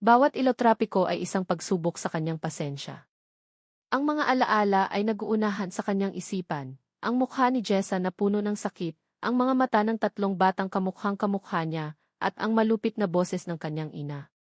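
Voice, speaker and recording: A woman narrator reading a scripted romantic drama in a measured, melodramatic storytelling manner. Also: medium pitch, no room sound, clean studio sound.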